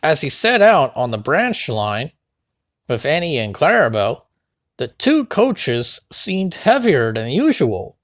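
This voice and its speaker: Children's read-along narrator; unhurried, very animated intonation, dry close sound. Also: mid-range voice, dry recording. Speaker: storybook narrator.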